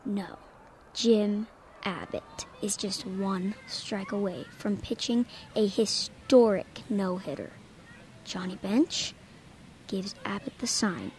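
A woman's voice: mid-range voice, a little hiss or hum, close to an everyday voice. A woman playing an eager, determined young boy in an audio drama, given to mock sports commentary.

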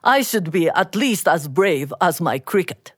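A woman with a Japanese accent voicing a humble, devoted father in a folktale, speaking calmly, earnestly and politely. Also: lowish voice, unhurried, husky, dry recording, clean studio sound, voice pushed down. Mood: calm, resolute.

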